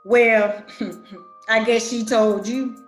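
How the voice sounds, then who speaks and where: Actress as high-voiced sardonic, irritable woman. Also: fairly even intonation, drawn-out words, soft background music. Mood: irritated, sarcastic. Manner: sardonic, theatrical, exasperated. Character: sarcastic, irritable adult daughter mocking mournful hymns. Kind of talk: dramatic play reading.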